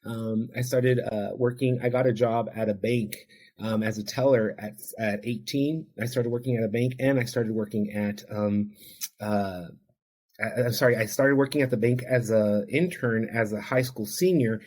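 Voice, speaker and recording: A man's voice: lowish voice, steady tone, moderate pace, a little mellow. A man whose first language is Spanish, an addiction counselor telling his own story as a podcast guest in an earnest, heartfelt, reflective way. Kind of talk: podcast interview, personal storytelling.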